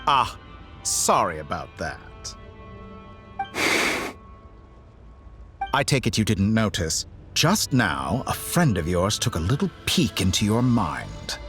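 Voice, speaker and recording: An actor playing an urbane, condescending video game villain with a formal, theatrical, smugly polite delivery. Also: male voice, on the low side, big pitch swings, measured pace, fairly bright tone, faint music behind, exaggerated voice. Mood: amused, condescending. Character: urbane, condescending male villain with godlike powers.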